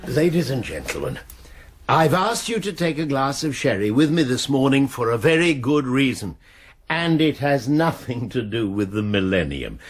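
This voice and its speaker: An actor playing a genial, formal, donnish middle-aged master of an English college in a radio drama, with an English accent and a husky voice. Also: male voice, medium pitch, very animated, moderate pace. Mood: formal, pleased. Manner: formal, urbane, expository.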